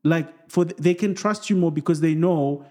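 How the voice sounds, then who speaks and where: Higher-voiced man in casual couple chat. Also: normal speed, dark tone. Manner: rambling, restarts, examples, playful asides. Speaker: podcast co-host, singer.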